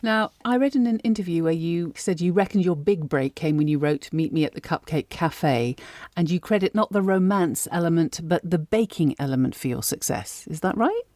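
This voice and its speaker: A female podcast interviewer with a slightly low voice. She puts her questions conversationally, as the host of the show. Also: animated, normal speed, few pauses, darkish tone.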